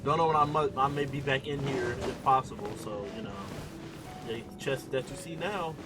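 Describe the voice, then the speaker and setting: A man doing casual gameplay reaction commentary as a streamer, excitable and slangy, often repeating his phrases. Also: mid-range voice, moderate pace. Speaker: gaming streamer. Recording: loud game music behind voice. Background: video game audio and music.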